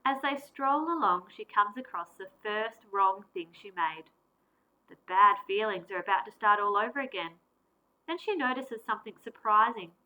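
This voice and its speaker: A woman primary school teacher reading a picture book aloud to children, with expressive, deliberate storytelling and varied emphasis. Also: mid-range voice, rough recording.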